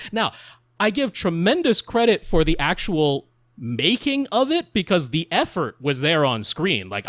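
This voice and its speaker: A man co-hosting a podcast in casual, opinionated film chat, talking in an animated, rambling, self-deprecating way. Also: on the high side, no room sound, studio quality. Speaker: podcast co-host.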